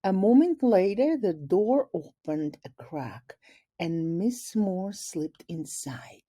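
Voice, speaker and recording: A woman reading a picture book aloud like a teacher, deliberately, pausing to explain words simply for children. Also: medium pitch, expressive, warm tone, husky. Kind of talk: expressive read-aloud storytelling with explanatory asides for children.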